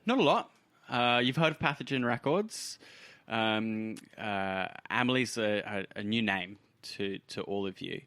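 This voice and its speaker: A man narrating a tabletop role-playing game as game master in a casual, halting, explanatory way. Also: lowish voice, a bit bright, clear, resonant tone, dry recording.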